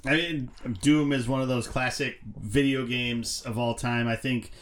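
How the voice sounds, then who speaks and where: A man's voice: medium pitch, fairly even intonation, measured pace, crowd noise. Rambling man in casual podcast chat. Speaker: podcast co-host.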